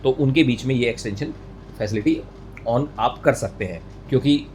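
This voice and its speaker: A man's voice: medium pitch, moderate pace, darkish tone. An Indian man presenting a step-by-step technical tutorial, mixing English terms into his speech and often rephrasing as he explains. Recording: clear background noise, room ambience.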